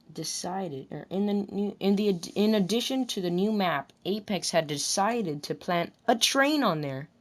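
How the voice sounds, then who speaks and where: A woman recording scripted commentary about a video game, deliberately delivering lines and often rephrasing them in retakes. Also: fairly low pitch. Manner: deliberate, restarts and rephrases whole sentences.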